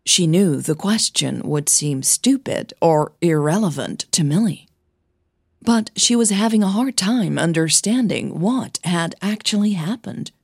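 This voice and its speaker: A woman's voice: lowish voice, lively intonation, measured pace, gravelly, a little mellow, husky, dry recording, studio quality. A woman narrating a fiction audio drama in a scripted storytelling style.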